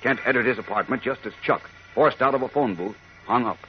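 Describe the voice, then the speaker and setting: A man's voice: medium pitch, brisk. An American man narrating a 1940s radio serial in a dramatic, declamatory way. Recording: muffled 1940s radio recording. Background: music.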